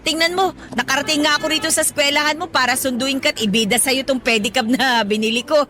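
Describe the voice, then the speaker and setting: Isang babaeng aktres na gumaganap bilang matapang at determinadong ina, kampante at kolokyal magsalita, sa Tagalog na drama sa radyo. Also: female voice, high voice, fast, bright, forceful tone. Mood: confident, defiant. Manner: breezy, self-assured, colloquial. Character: plucky, determined mother of a college-age son. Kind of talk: Tagalog radio drama acting. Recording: music behind the voice.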